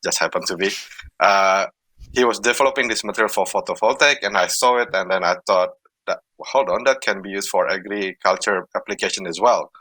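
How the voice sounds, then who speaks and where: A man's voice: sits low, fairly quick, sharp tone, no room sound, low-quality recording. A man from Indonesia, a startup co-founder recounting his work on a panel. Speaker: startup co-founder and former university researcher. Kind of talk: panel talk, telling how his company began.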